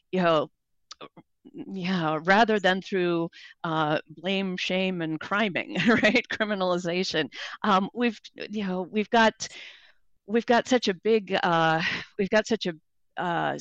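A radio guest with a smooth, resonant voice who speaks haltingly and restarts often. The recording is dry and slightly muffled. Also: mid-range voice, a bit bright, no room sound. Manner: halting, many restarts and fillers. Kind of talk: radio interview.

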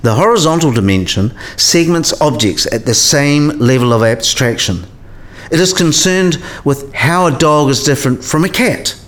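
A man's voice: medium pitch, natural voice. A man playing a patient professor in a radio play, explaining things in a didactic, precise manner. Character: male professor patiently explaining category theory.

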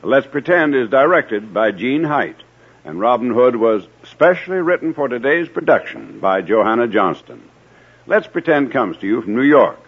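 A man's voice: medium pitch, sing-song, normal speed, slightly rough. An American man hosting a 1950s radio programme, reading scripted announcements. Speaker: announcer and host. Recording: dull old radio recording.

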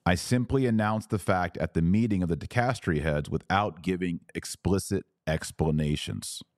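A man delivers live opinion commentary as a podcast host. His voice sits fairly low, and he breaks his points into deliberate, emphatic phrases. The sound is dry and close to the microphone. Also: dry recording.

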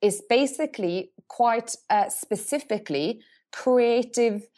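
A middle-aged woman speaking English, answering interview questions thoughtfully and often pausing to rephrase. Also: on the high side, dark tone. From Norway. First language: Norwegian. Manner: thoughtful, pauses to search for words and rephrases. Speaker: agency CEO, guest.

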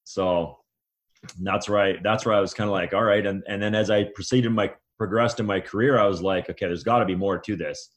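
Middle-aged male guest, lowish pitch, casual interview answers, colloquial, halting phrasing. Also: fairly even intonation. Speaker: guest, men's coach.

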